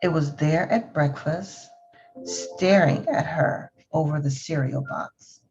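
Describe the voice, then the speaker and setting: A woman's voice: deep voice, takes it slowly, darkish tone. A woman storyteller reading a children's picture book aloud, with a slightly dull recording. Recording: dull lo-fi audio with music. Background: faint music.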